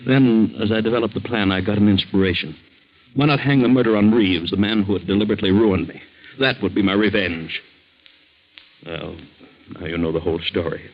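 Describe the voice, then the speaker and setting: An actor playing a ruined middle-aged father in a 1940s radio drama, speaking gravely and earnestly. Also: lowish voice, brisk. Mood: grave, confessing. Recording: dull old 1940s radio recording.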